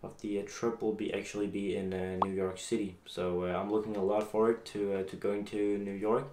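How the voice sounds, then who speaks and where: A seventeen-year-old man from Denmark with a Danish accent, talking casually to the camera in a halting, hesitant way. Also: on the low side, flat delivery, a little mellow. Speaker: YouTube vlogger introducing a channel. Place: small home office room, indoors. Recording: echoey room with faint background noise.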